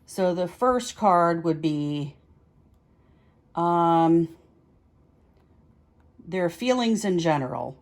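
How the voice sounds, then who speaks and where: Slow-paced woman, casual and chatty. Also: fairly low pitch, lively intonation. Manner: informal, chatty, thinking aloud. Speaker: tarot reader hosting a practice series. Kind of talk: casual explanatory vlog talk.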